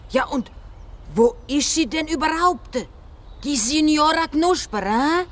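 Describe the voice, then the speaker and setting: A woman's voice: high voice, lively intonation. Theatrical Italian lady, swooping unhurriedly. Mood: dramatic, worried. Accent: Italian-accented Swiss German. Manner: dramatic, drawn-out, exclaiming. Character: theatrical, excitable Italian lady, food lover. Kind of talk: children's audio drama acting.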